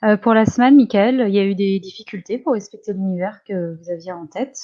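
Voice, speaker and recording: A woman's voice: medium pitch, fairly quick, warm tone. A woman with a French accent moderating a panel, asking questions in an informal, conversational way. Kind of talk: informal interviewing. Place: public panel at a comics festival. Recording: muffled, dull recording.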